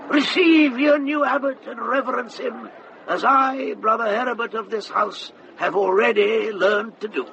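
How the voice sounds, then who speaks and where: An actor playing an elderly abbot who makes solemn, ceremonious proclamations in a radio drama. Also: male voice, extremely high pitch, steady tone, unhurried, gravelly, highs cut off, noisy background, full character voice. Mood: solemn, pointed. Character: elderly abbot, formal, long-suffering, ceremonious. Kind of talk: British radio drama acting.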